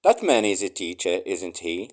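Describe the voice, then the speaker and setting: A man reading short English phrases aloud for learners, saying each one three times slowly and clearly. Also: deep voice, very animated, a little mellow.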